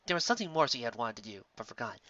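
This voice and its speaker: Storybook narrator: expressive scripted reading, bright tone, close dry room, somewhat dull audio. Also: mid-range voice, very fast, no room sound. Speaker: narrator, fan fiction reader.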